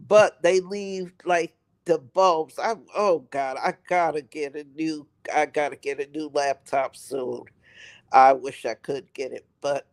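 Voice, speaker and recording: A man with a raspy voice from a head cold, rambling on a live stream and repeating himself for emphasis. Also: high-pitched. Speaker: livestream host. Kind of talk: casual live-stream commentary.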